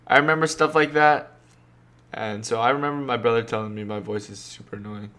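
A man's voice: mid-range voice, steady tone, fairly quick, bright, forceful tone. A young man hosting a casual solo podcast, rambling and self-deprecating, full of slang. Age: young adult. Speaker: podcast host.

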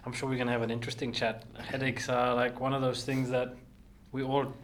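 A man hosting a podcast, upbeat and chatty, with quick laughing asides. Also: mid-range voice, little pitch movement, slight background noise. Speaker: podcast host. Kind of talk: podcast interview.

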